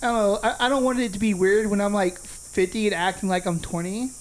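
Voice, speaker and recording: Fast-talking blunt man in his late thirties. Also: sits high, steady tone. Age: 30s. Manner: blunt and profane. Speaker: podcast host. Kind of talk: casual chat.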